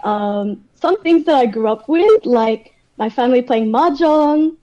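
A woman with an Australian accent speaks in a fairly high, mellow voice with a slightly dull recording quality. She chats casually as an interview guest, telling personal stories in a talkative, informal way. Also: unhurried. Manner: chatty, animated retelling with acted-out quotes and frequent "like".

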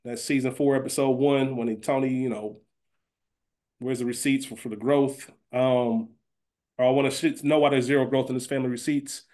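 A man's voice: mid-range voice, fairly even intonation, normal speed, warm tone. A man chatting casually as a podcast co-host, explaining things in an informal, slangy way. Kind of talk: casual chat, fantasy draft banter.

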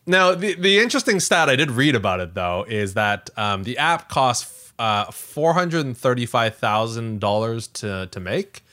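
A man's voice: medium pitch, normal speed, hardly any pauses. A man co-hosting a podcast in casual tech chat, talking in a conversational way peppered with "like" and "you know". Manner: animated, conversational, filler-heavy with frequent "like" and "you know". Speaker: podcast co-host.

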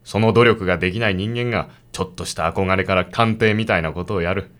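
A man's voice: sits low, fast talker, fairly bright tone. A man playing an arrogant, stiffly formal police detective and father in a radio drama, talking down to people. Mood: scornful.

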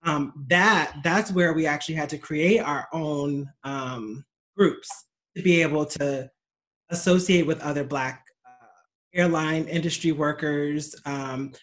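A man in a casual group discussion, speaking in a measured, hesitant way with fillers. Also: fairly high pitch, a bit bright. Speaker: discussion participant.

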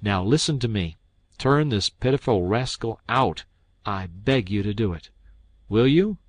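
A man's voice: on the low side, lively intonation, a little slow, no echo. A man voicing a blunt, honest, indignant doctor in an audiobook, scolding and emphatic. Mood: stern pleading. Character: blunt, indignant iron-jawed male doctor, stern and honest.

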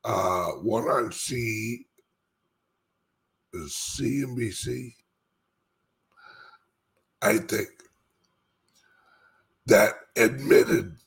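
Male crypto commentator, raspy and gravelly, very slow and emphatic delivery, informal solo address. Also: fairly low pitch, fairly bright tone. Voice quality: speech impediment. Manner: emphatic, repeats phrases with dramatic pauses. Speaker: cryptocurrency commentator and show host. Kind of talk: informal monologue commentary to followers.

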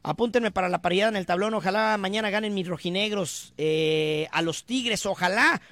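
A Mexican man hosting a sports radio show, reading listener messages in brisk, chatty, teasing banter. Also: high-pitched, fairly bright tone, no room sound. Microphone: studio microphone.

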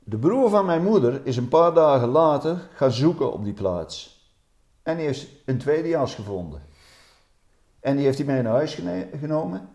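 A man telling family recollections in an informal interview, halting and repeating words. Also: mid-range voice, sing-song, a little mellow. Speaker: local witness recalling family history. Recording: echoey room.

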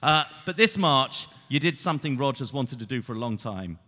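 British guy hosting a live soccer show, really bright punchy voice, big hall echo. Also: medium pitch, lively intonation, brisk. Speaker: co-host of a live football show. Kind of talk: playful live stage hosting. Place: on stage in a large theater. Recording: reverberant theater hall.

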